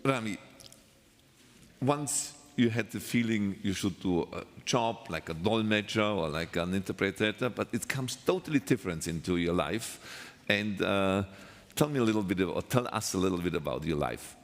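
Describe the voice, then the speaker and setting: A man's voice: low-pitched, lively intonation, moderate pace. Upbeat male stage host in a reverberant hall. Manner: loose non-native phrasing, upbeat thanks. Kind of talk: live stage interview.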